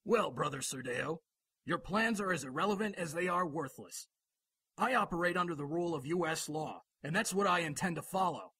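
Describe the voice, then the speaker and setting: A blunt, official police lieutenant in a radio drama. His voice is a bit high, forceful and husky, with a firm, dry wit. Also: lively intonation, brisk, no room sound, full character voice.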